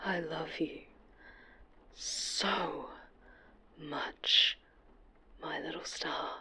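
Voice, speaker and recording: A woman voicing an obsessive, vengeful superhero villain in a horror ASMR roleplay, sweetly menacing and breathy, often slipping into whispers. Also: deep voice, fairly even intonation, very slow, bright, forceful tone, full character voice. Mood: tender, threatening.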